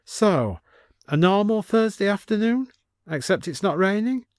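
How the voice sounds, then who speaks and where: A man voicing a breezy, sardonic, curious woman with a lively manner in a story reading. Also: high-pitched, a little slow, no room sound, studio quality, voice pushed up.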